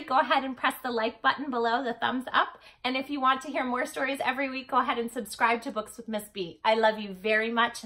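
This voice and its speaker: A woman storytime host reading a picture book aloud to children in an expressive, dramatic way. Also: on the high side. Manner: animated, dramatic delivery for children.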